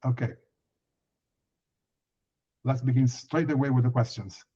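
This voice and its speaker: A man with an Italian accent hosting a podcast interview, speaking in a halting, deliberate way. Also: mid-range voice, steady tone, warm tone, husky. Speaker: podcast host. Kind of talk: podcast interview with prepared introduction. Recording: dull, lo-fi microphone sound.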